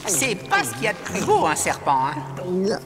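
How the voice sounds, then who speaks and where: A woman's voice: on the low side, wildly swooping pitch, normal speed, music in the background. A woman voicing a plucky cartoon animal adventurer with a bold, cheeky manner.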